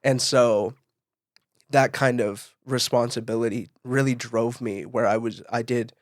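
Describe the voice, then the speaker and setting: A man chats casually as a podcast co-host, with a mid-pitched voice. He tells stories informally, slipping into quoted conversation, and the sound is close and dry. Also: steady tone, a little slow, no room sound. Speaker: podcast co-host, event photographer. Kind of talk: casual podcast chat, storytelling.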